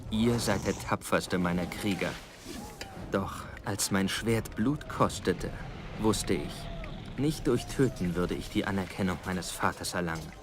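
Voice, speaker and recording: An actor playing a young Persian prince who solemnly recalls his first battle in measured game narration. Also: deep voice, noisy background. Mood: solemn, reflective. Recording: loud music behind narration. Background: game music.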